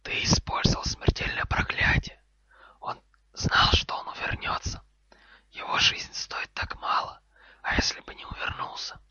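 A man voicing an angry, haughty snake in a hissing whisper, sharp and commanding in an audiobook style. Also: a little slow, no room sound, lo-fi sound. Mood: furious, scornful.

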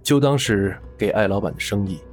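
Man as a smooth, low-voiced menacing casino boss. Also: brisk, faint music behind, close to an everyday voice. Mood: falsely genial. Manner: suave, teasing, commanding. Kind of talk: Mandarin audio drama acting.

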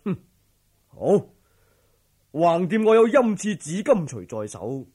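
Casting: male actor as spoiled, thuggish royal in-law villain, Cantonese, husky, slightly high, swinging blustery delivery. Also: very animated, slow pace, darkish tone, exaggerated voice. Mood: scornful, menacing. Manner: blustering, scornful, with disdainful snorts. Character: arrogant, violent young imperial brother-in-law, a corrupt villain. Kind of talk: Cantonese audio drama acting.

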